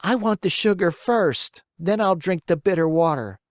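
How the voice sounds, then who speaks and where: A storyteller voicing a whiny, stubborn wooden marionette boy in a high, strained, whining voice. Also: lively intonation, moderate pace, no echo, clean studio sound, natural voice. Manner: bargaining, then wailing and pleading.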